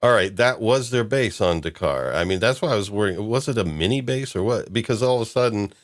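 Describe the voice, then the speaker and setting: A man's voice: fairly low pitch, animated, a little fast. A man hosting a podcast, chatting casually about a fan-made audio drama, chuckling now and then as he talks. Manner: chuckles while talking. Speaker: podcast host.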